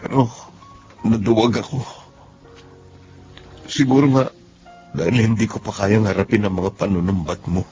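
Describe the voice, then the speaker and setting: A man's voice: fairly low pitch, steady tone, normal speed, pauses often, dark tone, faint music behind, close to an everyday voice, rough recording. An actor playing a timid, remorseful Filipino man in a melodrama, hesitant and apologetic. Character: remorseful, timid man confessing and seeking forgiveness.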